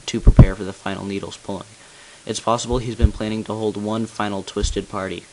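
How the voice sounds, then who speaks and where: A man playing a grave, weary elder leader in a video game story, delivering a measured, solemn explanatory monologue. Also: fairly low pitch, close to an everyday voice, low-quality recording. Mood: earnest, urging. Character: grave, weary male village elder revealing secrets. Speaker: amateur voice actor auditioning. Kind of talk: scripted dramatic monologue, game narrative. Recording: hissy home microphone recording.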